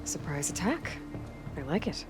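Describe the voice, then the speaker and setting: Young heroine for an action game: slightly low, dry, plainspoken and bold, soft music underneath. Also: female voice, big pitch swings, a little slow. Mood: curious. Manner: direct, dry, informal. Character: bold, wry young warrior woman. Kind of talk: cinematic video game dialogue.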